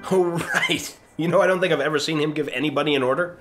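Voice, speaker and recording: A man's voice: a little high, quick pace, over music, close to an everyday voice. A man playing a pompous, self-centred, sarcastic starship captain in a comedic sci-fi radio play, speaking in a haughty, theatrical way. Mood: exasperated.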